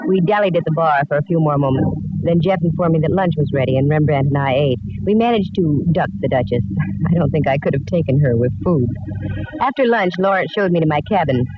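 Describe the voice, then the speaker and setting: A woman's voice: low-pitched, lively intonation, brisk, loud music behind the voice. A woman playing a breezy, wry, self-assured private detective who narrates a 1940s radio mystery. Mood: wry narration. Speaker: narrator and lead. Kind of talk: 1940s radio acting, first-person narration. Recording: dull, noisy vintage radio recording.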